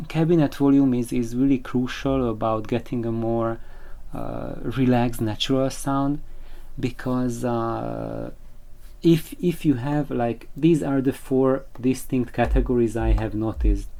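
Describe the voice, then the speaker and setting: Informal tech explainer; mellow, relaxed tone, mid-pitched, with emphatic phrasing. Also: unhurried, gravelly. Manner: emphatic, fond of intensifiers and analogies. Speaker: presenter explaining loudspeaker design.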